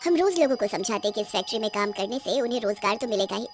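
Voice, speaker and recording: A woman voicing a vengeful, gloating witch in a cartoon horror story, taunting her captives with drawn-out threats. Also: medium pitch, lively intonation, faint music behind, natural voice. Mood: bitter, vengeful. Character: vengeful, gloating female witch who runs a factory.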